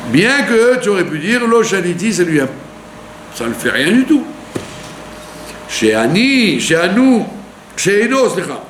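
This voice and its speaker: Animated rabbi teaching in an echoey room. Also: male voice, high voice, unhurried, pauses often. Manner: animated teaching, with rhetorical questions and frequent "d'accord?". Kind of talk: Torah lesson sermon. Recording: echoey room with faint hum.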